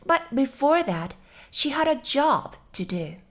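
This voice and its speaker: A woman who is a native Japanese speaker, softly and carefully reading a story aloud in a slow, measured way for English learners. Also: high voice, big pitch swings. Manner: soft, measured, careful phrasing. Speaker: English teacher and channel host. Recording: music behind the voice. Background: background music.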